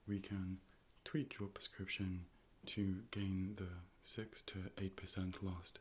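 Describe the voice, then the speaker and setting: A man speaking in a soft, measured, often whispered ASMR style, playing a calm and polite optician in a close personal-attention role play. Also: low voice. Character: calm, polite robot A.I. optician conducting an eye exam. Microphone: close ear-to-ear stereo microphone.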